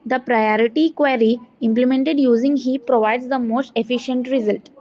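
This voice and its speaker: A woman student reading out a scripted project presentation in a halting way, with stumbles and self-corrections. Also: fairly high pitch, fairly even intonation, a little mellow. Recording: dull, muffled lo-fi audio.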